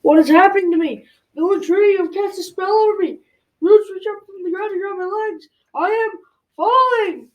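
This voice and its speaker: A woman's voice: extremely high pitch, moderate pace, highs cut off, voice pushed up. A young female student playing a proud, bold prince knight in an amateur school radio drama, declaiming emphatically. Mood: panicked. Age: child or teen.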